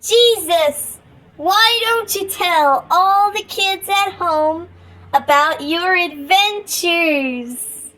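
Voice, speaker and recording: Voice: very high-pitched, drawn-out words, bright, forceful tone, exaggerated voice, low-quality recording. A performer voicing a chirpy feline puppet who hosts a parody children's show, talking to kids in a sing-song way. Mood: cheery, earnest.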